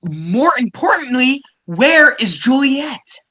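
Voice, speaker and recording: A woman's voice: sits high, sing-song, slow, pushed and bright, exaggerated voice. A woman in a comedic play reading, playing a scheming, exasperated parent with a theatrical, sarcastic delivery.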